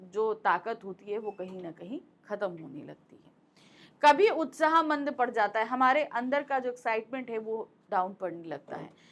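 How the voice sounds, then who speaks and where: A woman teacher explaining a school lesson in a conversational way, repeating points and asking rhetorical questions, speaking close to a microphone. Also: fairly high pitch. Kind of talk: explaining a Hindi lesson to students. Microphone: close mic.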